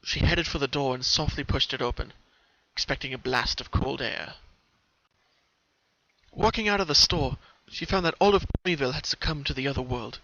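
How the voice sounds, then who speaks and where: Bright, forceful man narrating a story. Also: medium pitch, moderate pace, no echo. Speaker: fan fiction narrator. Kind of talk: dramatic scripted story narration. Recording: dull lo-fi home recording.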